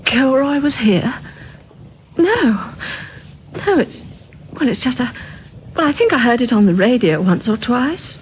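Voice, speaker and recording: Hesitant widow, muffled vintage radio. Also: female voice, fairly high pitch, very animated, slight background noise. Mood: hesitant, guarded. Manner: halting, guarded, searching for words. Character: hesitant young widow of a domineering older butcher. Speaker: actor in radio drama. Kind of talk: old time radio acting.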